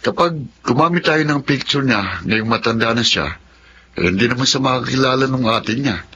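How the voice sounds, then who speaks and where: Bright-voiced mild young Filipino son. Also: male voice, medium pitch, lively intonation, moderate pace. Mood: curious, eager. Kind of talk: radio drama acting. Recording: dull lo-fi sound with faint noise.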